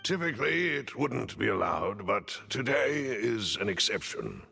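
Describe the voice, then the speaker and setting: A genteel butler in a story game, his voice low, gravelly and sharp at the edges. He bows through every sentence with courtly calm. A long hall reverb trails behind him over faint music. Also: a little slow, full character voice. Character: gravelly, genteel male butler, dignified and attentive. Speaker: butler attendant.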